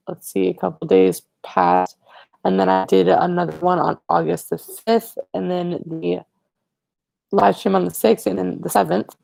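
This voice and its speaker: A teenage boy hosting a casual livestream, rambling and correcting himself as he chats to viewers, his voice raspy today. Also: sits high, mellow, no room sound, low-quality recording. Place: living room, indoors. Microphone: earbud headset mic, webcam.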